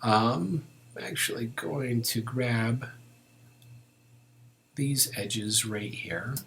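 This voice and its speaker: Slow-paced man narrating a software tutorial. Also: mid-range voice, creaky, slight background noise. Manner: unhurried, thinking aloud. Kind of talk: informal step-by-step screen tutorial narration.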